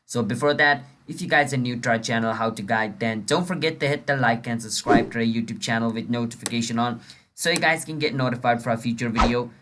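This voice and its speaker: A man's voice: mid-range voice, fast, fairly bright tone, slight background noise. A man narrating a screen-recording tutorial in a chatty, informal way, speaking directly to viewers as the channel's host.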